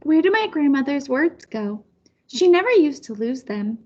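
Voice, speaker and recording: A woman's voice: high voice, sing-song, normal speed, darkish tone, muffled recording. A woman reading a children's picture book aloud as a storyteller. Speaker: storybook reader.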